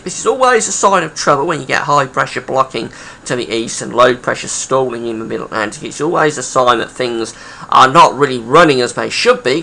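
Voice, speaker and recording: A man with a croaky, gravelly voice, hosting a casual weather history video, chatty and full of pauses and fillers. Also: mid-range voice, sharp tone, slight background noise. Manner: chatty, rambling with many uh fillers.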